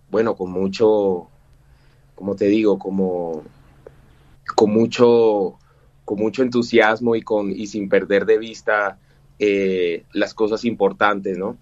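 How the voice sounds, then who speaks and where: A man's voice: fairly low pitch, lo-fi sound. A man in his forties from Cuba, a musician guest talking in an interview, hesitant, with many fillers and restarts. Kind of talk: interview conversation.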